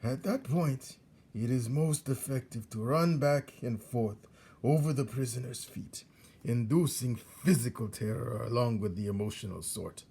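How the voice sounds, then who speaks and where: A man's voice: mid-range voice, unhurried, gravelly, warm tone, breathy edge. A man voicing a very old, cruel male dungeon rat in a storybook, lecturing with relish and heavy stresses. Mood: cruel delight. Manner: lecturing, relishing each word with emphatic stresses.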